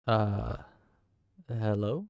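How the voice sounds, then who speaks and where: Man acting as a friendly awkward tavern keeper, hesitant, mellow gravelly voice, dry close sound. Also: fairly low pitch, extremely slow, no room sound, lo-fi sound, heavily acted. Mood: reassuring. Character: kind, awkward young male tavern keeper named Briar. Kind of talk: fantasy audio roleplay.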